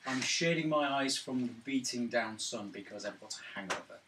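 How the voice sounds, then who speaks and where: A man's voice: medium pitch, normal speed. A man bantering casually as a player at a tabletop game. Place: indoors around a gaming table. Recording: roomy, distant mic, clearly noisy.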